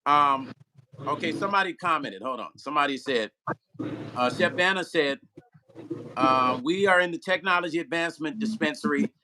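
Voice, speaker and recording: A man hosting a casual livestream, chatting with a guest and reading viewer comments aloud in an animated way. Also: fairly high pitch, moderate pace, noisy background. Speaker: livestream host.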